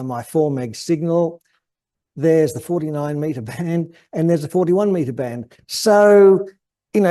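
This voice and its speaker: An Australian man giving an informal technical presentation, hesitant and halting as he thinks aloud. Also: fairly high pitch, mellow. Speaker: guest presenter at an amateur radio club.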